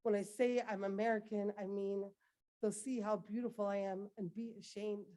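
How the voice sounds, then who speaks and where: A woman's voice: medium pitch, steady tone, moderate pace, dark tone. Woman performing spoken word poetry. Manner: rhythmic, building intensity with repeated refrain. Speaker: poet reading at a dedication ceremony. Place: ceremony podium.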